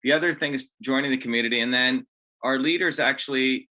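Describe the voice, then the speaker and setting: A man's voice: medium pitch, fast talker, pushed and bright, dull audio. A man hosting an online open house meeting in a casual, upbeat way, often restarting his sentences. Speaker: host.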